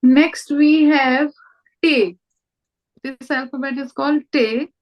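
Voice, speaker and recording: Indian woman tutor, high voice, slightly dull call audio, slow patient repetition. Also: fairly even intonation. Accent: Indian English. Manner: patient, repeats words slowly for the student. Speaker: language tutor. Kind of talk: online language lesson. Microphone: video call.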